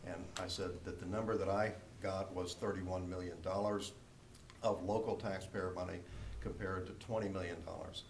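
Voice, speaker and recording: A man's voice: low voice, little pitch movement, moderate pace. An American man making unscripted remarks as a council member at a public meeting. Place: indoor council chamber. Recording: echoey noisy room, distant microphone.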